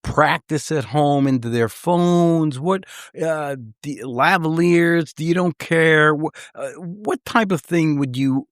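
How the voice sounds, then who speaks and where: A man's voice: on the high side, takes it slowly, few pauses, dry recording. An American man hosting a radio interview in a casual, bantering style, with slangy, exclamatory reactions, recorded in a studio. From Boston, USA.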